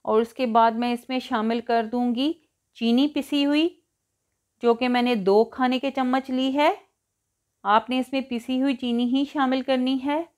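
High-voiced woman narrating a recipe. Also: fairly even intonation, a little slow, darkish tone. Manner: instructional, step-by-step. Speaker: cooking show host. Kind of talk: recipe tutorial voice-over.

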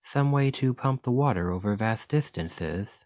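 A man playing an earnest, chatty scholar in an ASMR roleplay, speaking gently and hesitantly as he rambles and interrupts himself. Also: mid-range voice, lively intonation, a little slow, dry recording, lightly acted. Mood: gently concerned. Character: earnest, rambling male scholar gently reassuring a captive creature.

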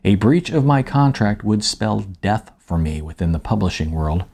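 A middle-aged man with an American accent and a gravelly, slightly husky voice, narrating his own first-person book as an author. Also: low voice, a little mellow. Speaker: author reading his audiobook. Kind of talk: first-person audiobook narration with casual asides. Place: small indoor room, seated at a table.

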